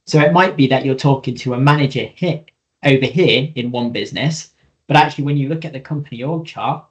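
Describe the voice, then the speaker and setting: A man's voice: mid-range voice, poor audio. A man co-presenting a webinar, explaining things in an informal instructional style.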